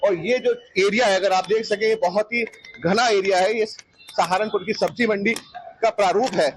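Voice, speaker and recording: A male field reporter speaks to camera in a high-pitched voice. Faint location ambience sits behind his report. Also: steady tone, normal speed, poor audio. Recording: faint outdoor ambient noise.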